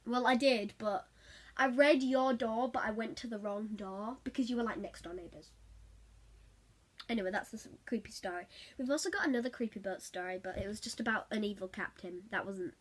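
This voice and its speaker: Female storyteller for a casual vlog, higher-pitched voice, animated and giggly delivery. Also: fairly quick. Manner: animated, giggly, acts out quotes. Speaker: vlogger telling a story.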